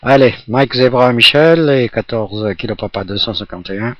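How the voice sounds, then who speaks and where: A man's voice: mid-range voice, moderate pace. A man chatting casually as an amateur radio operator during a radio contact. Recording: roomy, muffled radio recording. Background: electric fan blowing nearby.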